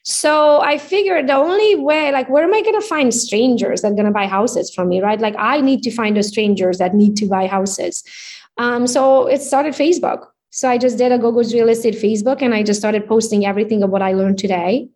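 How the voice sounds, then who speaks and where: A woman in her forties from Romania with a Hungarian accent, telling her story as an interview guest in an upbeat, animated way. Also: a little high, a little fast. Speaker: real estate agent guest. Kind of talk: casual interview chat.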